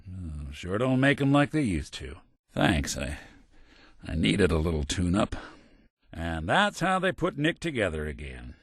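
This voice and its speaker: World-weary old robot private eye for a game, american, low wisecracking drawl, swings around a lot, dry close sound. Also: male voice, wildly swooping pitch, unhurried, fairly bright tone, slightly rough, no echo, exaggerated voice. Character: wry, world-weary old synthetic male detective. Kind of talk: video game character acting, noir detective.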